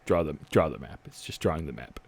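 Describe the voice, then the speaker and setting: A man's voice: a bass voice, animated, quick pace, a little hiss or hum, voice pushed down. A man playing a hesitant captain who rambles and restarts his sentences. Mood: flustered. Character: flustered, hesitant male captain.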